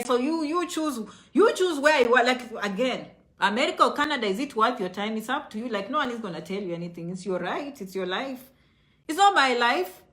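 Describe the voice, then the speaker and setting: A woman with a Kenyan accent speaks straight to her viewers in a casual motivational vlog. Her voice sits fairly high and is very bright and forceful. She is emphatic, repeating short phrases to press her point. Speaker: online video host.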